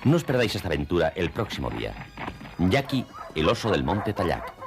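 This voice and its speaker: A male actor as the solemn storyteller of a children's cartoon, narrating from a script in a deep, gravelly voice. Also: wildly swooping pitch, a little fast, over music, exaggerated voice. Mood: ominous, inviting.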